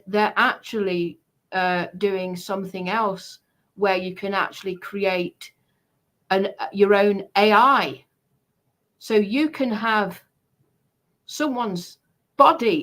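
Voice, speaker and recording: Slow, deliberate woman chatting. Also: medium pitch, fairly bright tone, poor audio. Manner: deliberate, halting, repeats phrases for emphasis. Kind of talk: casual commentary.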